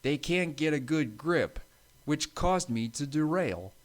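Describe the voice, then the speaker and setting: A man's voice: on the high side, animated, slow, voice pushed up. A man voicing an eager, earnest American steam engine with an American accent and folksy speech in a children's story. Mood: apologetic, sad. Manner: folksy, colloquial grammar.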